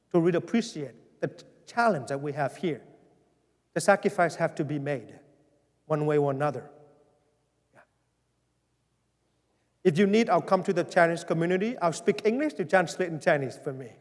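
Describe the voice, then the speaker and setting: Vietnamese-accented pastor in a reverberant church. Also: male voice, sits high. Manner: earnest, wry, pleading, with gentle humor. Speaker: parish pastor. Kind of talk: town hall address to parishioners. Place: large church hall.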